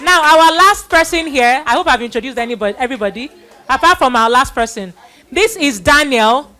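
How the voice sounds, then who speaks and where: High-voiced woman hosting a children's church event. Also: lively intonation, a little fast, bright, forceful tone. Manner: exhorting, repeats phrases. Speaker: host of a children's Bible play event. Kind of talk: live event hosting, introductions and thanks.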